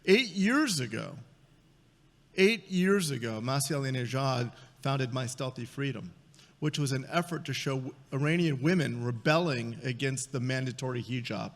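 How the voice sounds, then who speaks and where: A man's voice: mid-range voice, expressive, normal speed. A middle-aged man giving an earnest, emphatic introduction at a conference lectern. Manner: emphatic, earnest, repeats words. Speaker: event introducer. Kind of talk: formal speech introduction. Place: large conference hall stage. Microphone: lectern microphone. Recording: hall reverb.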